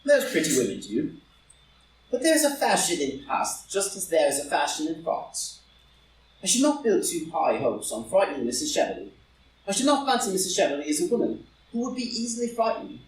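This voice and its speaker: A man's voice: on the high side, huge pitch swings, brisk. A man playing a flippant English dandy lord with a languid, arch, dryly witty manner in a stage comedy reading. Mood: amused, flippant. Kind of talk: live read-through of a stage comedy as audio drama. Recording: echoey room, distant mic, faint noise.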